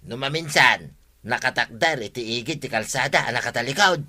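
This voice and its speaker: Bright, sharp-voiced excitable village gossip. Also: male voice, medium pitch, a little fast. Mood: insistent. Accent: Ilocano Filipino. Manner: insistent, gossipy storytelling. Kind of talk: Filipino comedy radio drama acting.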